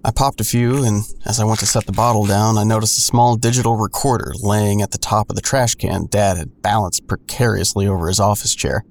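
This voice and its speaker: A man playing a grown son who narrates an audio drama in a sardonic, colloquial, deadpan way. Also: fairly low pitch, fairly quick, hardly any pauses, lightly acted. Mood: wry, reflective. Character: wry adult son narrating his own hard-boiled story. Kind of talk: first-person audio drama narration with dialogue.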